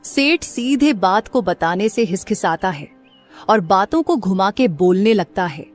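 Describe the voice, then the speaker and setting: Woman narrating a story, medium pitch. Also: lively intonation, measured pace, soft background music.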